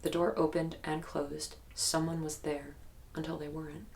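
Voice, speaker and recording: A haunted, earnest young woman in an investigative audio drama. Her voice is very low with a crackle of fry. She tells her story plainly but haltingly and guardedly, with faint noise behind her. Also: little pitch movement, darkish tone. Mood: haunted, insistent.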